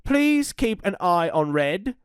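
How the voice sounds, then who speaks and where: A storyteller voicing a worried, protective mother who speaks gently to her daughter. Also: mid-range voice, big pitch swings, takes it slowly, smooth, no echo, studio quality, pitched above the performer's own voice. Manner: gentle, fretful. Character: anxious, caring mother.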